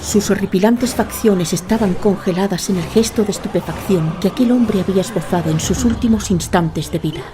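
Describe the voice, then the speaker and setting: A woman's voice: mid-range voice, fairly quick, hardly any pauses. Spanish woman narrating over prominent music. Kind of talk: scripted dramatic fantasy audiobook-style narration. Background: music under the narration.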